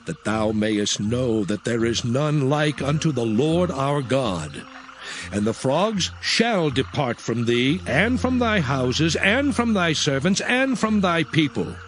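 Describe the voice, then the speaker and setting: An actor playing a solemn prophet in a scripture drama, speaking in a declamatory, commanding, deliberate way. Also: male voice, medium pitch, slight background noise. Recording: music behind the voice.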